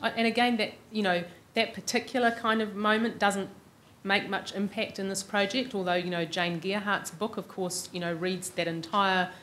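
A woman's voice: medium pitch, fairly even intonation, moderate pace, hardly any pauses, a bit bright. A middle-aged woman with an Australasian accent answering questions as an academic speaker, thinking aloud and qualifying as she goes. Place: large university lecture room. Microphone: lectern microphone. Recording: clearly noisy lecture room recording.

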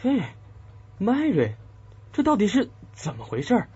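An actor playing an earnest, bewildered, lovestruck young man in a radio drama, with a husky voice. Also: sits high, wildly swooping pitch, unhurried, mellow, full character voice. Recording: dull muffled audio, faint music.

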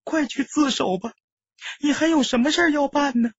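A woman's voice: high-pitched, moderate pace, dry recording, muffled recording, close to an everyday voice. A woman playing a caring, earnest older sister who pleads with and reassures her brother, in audiobook style. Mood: tearful urging. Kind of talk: audiobook character acting.

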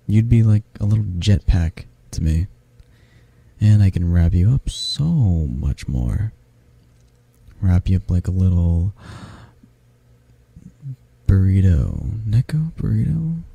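A man playing a tall, affectionate, flirty half-dragon in an intimate ASMR roleplay, speaking gently and haltingly with a low, husky, gravelly voice. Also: sing-song, dry recording, full character voice. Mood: playful, cuddly. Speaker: roleplay voice actor.

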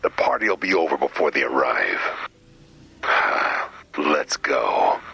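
A mysterious, vengeful vigilante from a video game. The voice is rock-bottom deep and crackles with gravel, measured and slow. He is terse, grim and melodramatic, growling in a near whisper. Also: sing-song, bright, forceful tone, exaggerated voice. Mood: grim resolve. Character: brooding, vengeful, mysterious cloaked male vigilante antihero. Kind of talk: video game character dialogue.

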